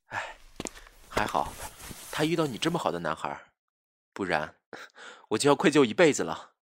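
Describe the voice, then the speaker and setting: A man's voice: lowish voice, big pitch swings, moderate pace, a little hiss or hum. A man playing a jealous young ex-boyfriend with insincere sweetness and a pointed, theatrical delivery in an audio drama. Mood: sweetly wistful. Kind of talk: Chinese audio drama acting.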